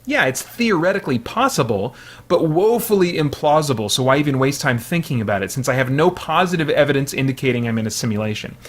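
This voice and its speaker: Male video commentator, somewhat high pitch, conversational, analytical, explanatory. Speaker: video host.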